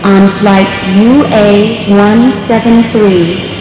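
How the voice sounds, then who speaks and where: A woman making slow, formal flight announcements. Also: mid-range voice, steady tone. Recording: echoey public-address sound from far off, crowd behind.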